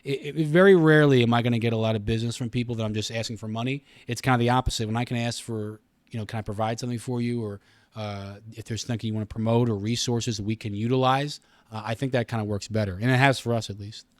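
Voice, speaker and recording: An American man in his thirties talks as an interview guest, explaining at length in casual, conversational speech. His voice sits at a middling pitch, and the sound is dry, with very little room in it. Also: fairly even intonation, quick pace, no room sound. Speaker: radio station communications director, interview guest.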